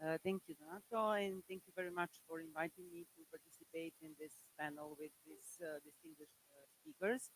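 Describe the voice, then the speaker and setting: A woman's voice: on the low side, slight background noise, rough recording. A woman professor from Serbia with a Serbian accent, presenting on a conference panel and often searching for words. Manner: halting, searching for words in a second language.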